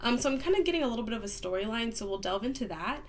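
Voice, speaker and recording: A woman reading tarot cards in a casual, upbeat monologue, a little scratchy as she gets over a cold, with many soft "um"s. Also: on the high side, animated, rapid-fire. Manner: soft, upbeat, lots of "um" and "kind of". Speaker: tarot card reader.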